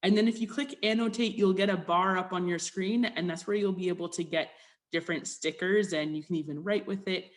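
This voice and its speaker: A woman's voice: on the low side, fairly even intonation, a little fast. A woman presenting an online workshop over a video call in a conversational, informal way, inviting people to join in. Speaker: workshop presenter, health promotion specialist.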